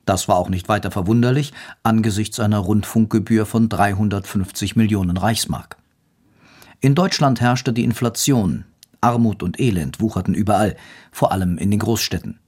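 Guy narrating a radio feature, lowish husky voice, scripted. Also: moderate pace, a little mellow.

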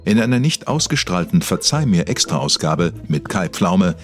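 A man narrating a comedy mock documentary from a script in an earnest, deadpan manner. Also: deep voice, normal speed, soft background music. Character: earnest male documentary narrator telling absurd stories.

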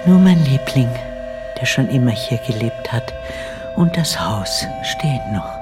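Bitter ghost housemaid telling a dark radio play story, brooding, music behind her. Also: rumbling low, animated, a little slow. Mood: haunted. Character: ghost of an old family maid, bitter, possessive, devoted. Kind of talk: literary radio drama narration. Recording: studio radio play over loud music.

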